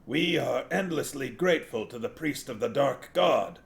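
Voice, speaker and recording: Male narrator as old sorcerer in disguise, guttural, gravelly, formal, humbly deferential, fantasy audiobook. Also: medium pitch, lively intonation, moderate pace, voice pushed up.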